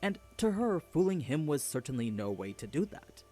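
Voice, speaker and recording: A man's voice: fairly high pitch, very animated, moderate pace, darkish tone, faint music behind. A man delivering a scripted story recap as a narrator.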